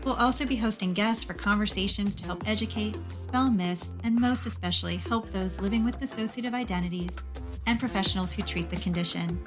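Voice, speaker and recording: A woman's voice: medium pitch, fairly quick, over music. A woman hosting a podcast, reading a scripted intro and then interviewing a guest in a welcoming, encouraging way. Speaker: podcast host.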